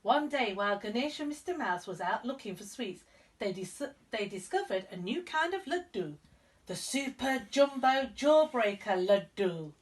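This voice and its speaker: Animated higher-voiced woman reading a children's story. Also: moderate pace, far from the mic. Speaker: storyteller, school reader. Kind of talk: expressive children's story narration.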